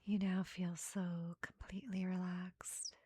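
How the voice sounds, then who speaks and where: A woman leading a guided meditation in a soft, breathy half-whisper, giving gentle, soothing instructions in short phrases with pauses between them. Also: on the low side, completely flat delivery, dry recording.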